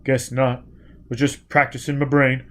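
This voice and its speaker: A man voicing a rough, driven male fugitive in an audiobook, speaking in terse, forceful phrases. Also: medium pitch, little pitch movement, normal speed, close to an everyday voice. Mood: weary disgust.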